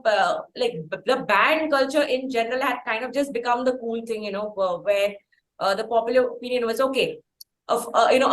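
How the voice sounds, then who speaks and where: Indian lady podcast host, high voice, rambles through long questions, echoey room. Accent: Indian English. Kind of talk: conversational interview.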